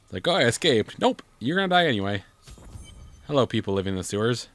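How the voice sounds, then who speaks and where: Man, medium pitch, very animated, wisecracking, casual video game commentary. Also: fast talker. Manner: playful, wisecracking. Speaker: gaming show co-host. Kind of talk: casual joking let's play commentary.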